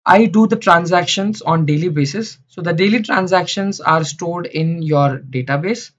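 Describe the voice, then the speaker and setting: A man's voice: high-pitched, normal speed, fairly bright tone. Man teaching slides. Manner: repeats phrases, many fillers and restarts. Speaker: instructor. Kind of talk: slide lecture for beginners. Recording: dull lo-fi sound.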